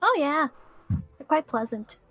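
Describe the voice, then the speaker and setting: High-voiced, slow-talking woman player. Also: very animated, fairly bright tone, no echo, soft background music. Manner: playful, chatty, laughs often. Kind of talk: playing a tabletop game.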